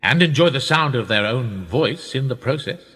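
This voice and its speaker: A man's voice: medium pitch, animated, normal speed, a bit bright, slightly rough. An actor playing a wealthy upper-class British businessman in a radio play, urbane, wry and self-mocking, with formal, rhetorical speech. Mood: sardonic, self-mocking. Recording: reverberant room with long echo.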